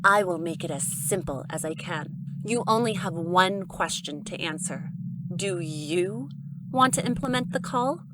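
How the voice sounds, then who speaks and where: A woman playing a cold, commanding alien in an audio drama, speaking formally and deliberately by thought. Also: on the low side, lively intonation, noisy background.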